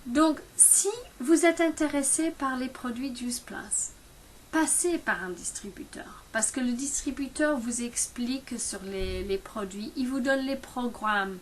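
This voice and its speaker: A woman in her fifties talking casually to camera about her own experience, halting often with fillers and restarts. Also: sits high, slight background noise. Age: 50s. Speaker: product distributor.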